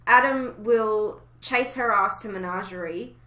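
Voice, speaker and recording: A woman talks casually in a bright, forward voice, offering speculative opinions with emphasis. The recording is roomy and echoey, like a podcast made in a reverberant room. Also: medium pitch, unhurried. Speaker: podcast co-host. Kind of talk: casual fan discussion.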